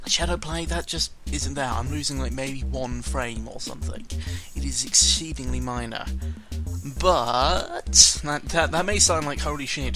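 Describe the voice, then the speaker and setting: Self-deprecating man rambling over loud music. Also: medium pitch. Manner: self-deprecating, sarcastic, sweary; halting, often stumbling over words. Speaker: YouTuber recording an audio log. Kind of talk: casual rambling chat. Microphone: close microphone with pop filter. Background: background music.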